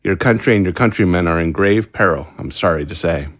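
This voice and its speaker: Low, dry-sounding man as a remorseful captive scientist. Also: fairly quick, a bit bright, no echo. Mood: resigned, grim. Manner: articulate, formal, regretful. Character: guilt-ridden imprisoned male scientist, resigned and bitter. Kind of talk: audio drama acting.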